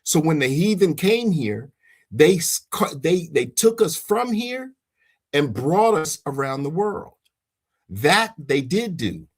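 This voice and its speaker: A man's voice: on the high side, big pitch swings, takes it slowly, breathy edge. A man preaching informally and giving personal testimony as he leads a religious discussion, emphatic and repeating phrases for weight. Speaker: host leading a religious discussion.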